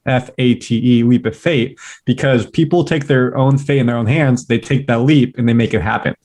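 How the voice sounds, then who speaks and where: A young man from California, a podcast guest, chatting upbeat and fast with lots of fillers. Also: medium pitch, darkish tone. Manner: upbeat, talks fast in bursts, many "like" fillers. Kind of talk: casual interview chat.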